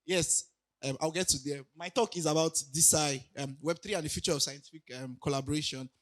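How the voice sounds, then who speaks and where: Raspy-voiced man giving a talk. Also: a little high, fairly even intonation, fairly quick, a bit bright. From Nigeria. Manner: hesitant, many ums and restarts, laughs lightly. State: raspy from recent illness. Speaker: conference speaker. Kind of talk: casual conference talk. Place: conference hall.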